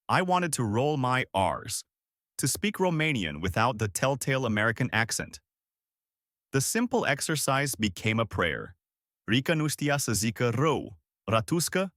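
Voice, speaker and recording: A man reading a personal essay aloud in a steady read-aloud delivery. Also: mid-range voice, no echo, clean studio sound. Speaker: essay reader.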